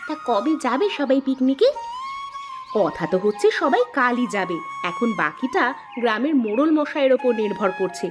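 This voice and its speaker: A woman's voice: fairly high pitch, very animated, moderate pace, over music. A woman voicing a gossipy, teasing village friend in a cartoon tale. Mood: curious, excited. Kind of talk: animated cartoon dialogue in Bengali.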